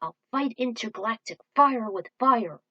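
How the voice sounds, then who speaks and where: A woman reading as a confident young male duelist, calling out his monsters in loud, commanding declarations and pitching up a little above her usual voice. He sounds defiant and sure of himself in a fan-made storybook reading. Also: lively intonation, normal speed, lo-fi sound. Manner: bold, loud proclamations.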